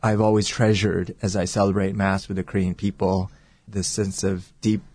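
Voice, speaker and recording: A man who is a priest, talking earnestly and reflectively in an interview and sometimes pausing to search for words. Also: low voice, little pitch movement, a little mellow, breathy edge. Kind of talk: casual interview talk.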